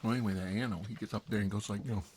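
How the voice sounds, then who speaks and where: A man's voice: lowish voice, fairly even intonation, racing, darkish tone, a little hiss or hum, close to an everyday voice. A man playing a put-upon, self-deprecating middle-aged uncle in a rambling, folksy ASMR comedy role-play. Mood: chatty, rambling.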